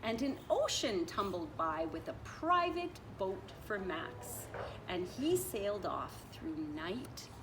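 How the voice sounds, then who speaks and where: A woman reading a picture book aloud like a teacher, expressive, stretching and stressing her phrases for children. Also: mid-range voice. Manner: expressive, drawn-out phrasing with emphasis. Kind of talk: animated children's storytelling. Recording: distant mic with clear background noise.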